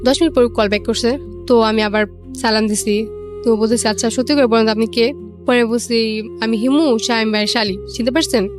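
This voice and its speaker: A woman's voice: a little high, steady tone, brisk. A young woman with a Bangladeshi accent telling her own story casually, in colloquial speech, quoting remembered conversations. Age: young adult. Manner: colloquial, acts out remembered dialogue. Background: music.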